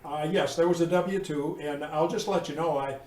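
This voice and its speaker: A man's voice: fairly high pitch, fairly even intonation, moderate pace, a little mellow. Man on ham radio, roomy distant sound. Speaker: amateur radio operator. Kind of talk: casual ham radio chat. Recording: roomy, distant mic with faint noise.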